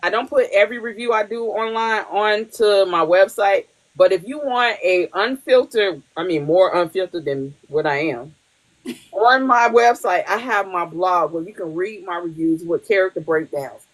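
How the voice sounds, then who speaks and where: A woman's voice: medium pitch, moderate pace, rough recording. A woman hosting a podcast in casual chat, blunt and profane, laughing often. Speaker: podcast host.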